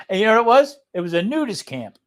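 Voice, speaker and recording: A man with a New Jersey accent, chatting and telling stories as a livestream co-host, blunt and rambling, often checking that listeners follow. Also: high voice. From New Jersey, United States. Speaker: live show co-host. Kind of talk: casual livestream chat and storytelling.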